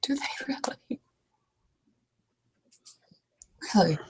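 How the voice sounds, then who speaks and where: A woman's voice: brisk, a little mellow, lightly acted. A woman playing a blunt, curious, gossipy fantasy woman in a tabletop game, talking in a breezy, teasing way. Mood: curious, unconvinced.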